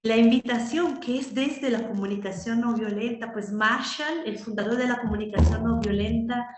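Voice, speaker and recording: A woman's voice: fairly high pitch, moderate pace, reverberant. A woman from Italy, a nonviolent communication trainer, answering interview questions thoughtfully, with frequent fillers. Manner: thoughtful, many "pues" and "¿no?" fillers.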